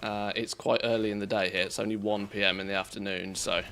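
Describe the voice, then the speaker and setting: A man with an English accent giving relaxed, chatty commentary on a live game stream, reacting to play and greeting viewers. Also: on the low side, fast, creaky. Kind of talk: casual live-stream commentary while gaming.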